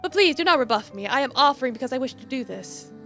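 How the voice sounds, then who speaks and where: An elegant mermaid from a fairytale game, played by a woman, speaking in stately, formal phrases. Her voice is high and bright, with an earnest, sincere temper, over soft music. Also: expressive, fast talker.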